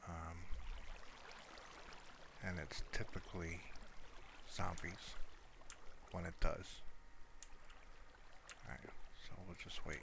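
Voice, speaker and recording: Deep voiced guy rambling thru his gameplay, unscripted and casual, pretty noisy audio. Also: little pitch movement, slow, pauses often. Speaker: gamer narrating own gameplay. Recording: clearly noisy home recording.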